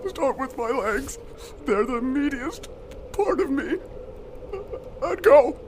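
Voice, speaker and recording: A badly hurt, devoted young man, speaks in a high, strained register. He is slow and halting, weak and close to tears as the cold takes him. Loud ambient noise surrounds the voice. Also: lively intonation, full character voice. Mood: desperate, pleading. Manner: halting, broken phrases, tearful. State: weak, gasping, crying. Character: badly injured young man, devoted, desperate, freezing to death. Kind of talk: audio drama acting. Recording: noisy, loud wind-like ambience behind.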